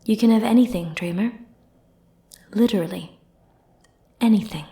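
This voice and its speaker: A woman playing a powerful, flirty and possessive sorceress in an ASMR roleplay, speaking softly and intimately with theatrical, teasing, condescending turns. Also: medium pitch, moderate pace. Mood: proud, coaxing. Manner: soft, intimate, teasing, theatrical delivery with breathy murmured asides.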